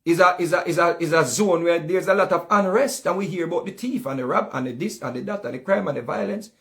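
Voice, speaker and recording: A man's voice: high voice, fast, a bit bright. A man with a Jamaican accent giving casual, heated commentary in patois, often repeating himself. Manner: heated, repetitive, speaks in patois.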